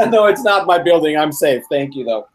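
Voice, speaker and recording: A man's voice: fairly high pitch, animated, normal speed, a little hiss or hum. Austrian-born man hosting a live interview. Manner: curious, personal, asks probing questions. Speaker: host. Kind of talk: casual live video interview. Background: fire engine passing.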